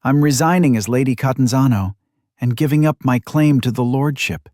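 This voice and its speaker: A man's voice: medium pitch, normal speed, a little mellow, dry recording, studio quality, pitched above the performer's own voice, close to an everyday voice. A man voicing an earnest, headstrong young noblewoman with an anxious edge in an audiobook. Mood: earnest, pressing. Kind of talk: audiobook character acting.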